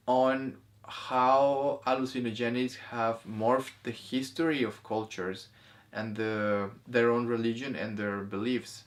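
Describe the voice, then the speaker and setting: Man, lowish pitch, conversational co-host, halting phrasing, informal discussion. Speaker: co-host of a movie review. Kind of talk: casual conversational discussion of film and spirituality.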